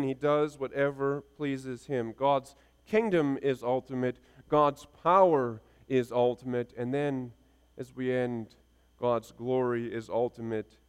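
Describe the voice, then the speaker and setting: A man's voice: mid-range voice, clean tone. A man in his thirties preaching a sermon as a pastor, with emphatic, rhythmic repeated phrases. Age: 30s. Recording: reverberant hall sound.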